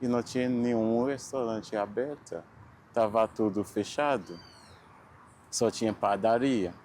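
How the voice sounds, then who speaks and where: Slow, halting Haitian man. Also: lowish voice, a little mellow, slight background noise. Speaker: interviewee. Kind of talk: documentary interview.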